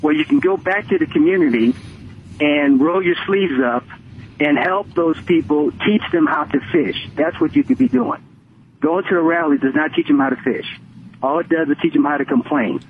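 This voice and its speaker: An older American man calls in on a thin, tinny phone line with slight noise. His voice sits somewhat high. He makes his case emphatically, circling back to repeat each point. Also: expressive, moderate pace. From Connecticut, United States. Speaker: radio phone-in caller. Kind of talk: opinionated call-in talk. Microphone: telephone.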